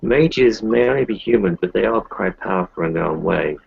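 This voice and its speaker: Deep, husky werewolf packmate played by a man, reassuring yet cautionary, tabletop roleplay, muffled lo-fi audio. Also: brisk, darkish tone, no room sound, full character voice. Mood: calm, cautioning. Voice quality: gravelly, husky. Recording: dull lo-fi voice recording.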